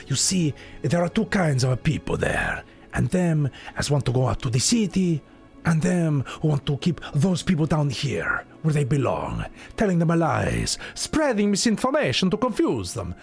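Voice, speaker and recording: A sly alley gossip with a hammy Spanish accent, leaning in to share rumors, as a man puts him on. The voice swings widely in pitch, with faint game music behind. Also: wildly swooping pitch, normal speed, gravelly, exaggerated voice. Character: shady street gossip in an alley.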